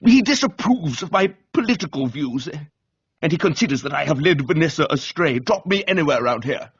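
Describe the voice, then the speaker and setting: A man voicing a blunt, intense young political agitator with a low, guttural voice in a dramatised reading. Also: lively intonation, fairly quick, husky, dull audio. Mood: bitter. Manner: blunt, clipped, emotional. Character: fiery gruff intense young left-wing male agitator.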